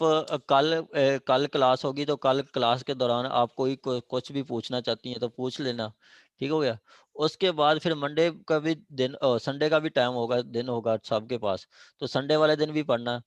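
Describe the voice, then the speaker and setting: A man's voice: medium pitch, no room sound. A man with a Pakistani accent teaching an online class informally, often checking in with short tag questions. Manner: repeated check-in tags. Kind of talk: informal classroom talk, announcing schedules.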